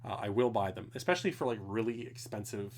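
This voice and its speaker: A man's voice: fairly low pitch, expressive, fast, slight background noise. A young man, rambling casually through technical viewer questions at home, swearing now and then. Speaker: streamer answering viewer questions. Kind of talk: casual live Q&A. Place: home, indoors.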